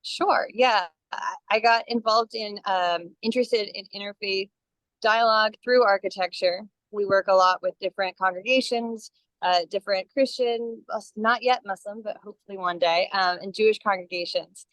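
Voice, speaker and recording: A woman architect with a mid-pitched voice speaks in a thoughtful, measured way. The call audio is dry and close. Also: a bit bright, dry recording, low-quality recording. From Arlington. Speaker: architect, fellowship member. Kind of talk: video call Q&A.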